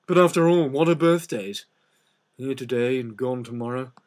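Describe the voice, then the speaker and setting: A man voicing a gloomy, sardonic old donkey in a storybook reading, slow, deadpan and mournful. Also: medium pitch, natural voice. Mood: gloomy, resigned.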